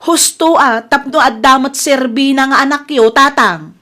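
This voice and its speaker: Woman playing high-pitched, resentful Ilocano daughter. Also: moderate pace, bright, forceful tone. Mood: resentful, curt. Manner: curt, dismissive. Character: self-justifying, resentful grown daughter shirking care of father. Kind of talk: Ilocano comedy radio drama acting.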